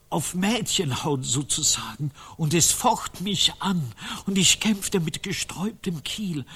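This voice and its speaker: Heiserer, schleppend redender alter Klosterschreiber-Mönch. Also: male voice, on the high side, fairly bright tone, slightly rough, full character voice. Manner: ponderous, long-winded, self-dramatising. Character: exhausted, melodramatic medieval monk and chronicle scribe. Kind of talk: radio play acting.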